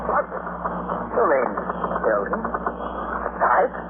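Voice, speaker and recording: An actor playing a plainspoken, earnest young sailor in an old-time radio drama, talking in a folksy, colloquial way. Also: male voice, mid-range voice, huge pitch swings, drawn-out words, exaggerated voice. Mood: shocked, defiant. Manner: folksy, colloquial yarn-telling. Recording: noisy, narrow-band old radio recording.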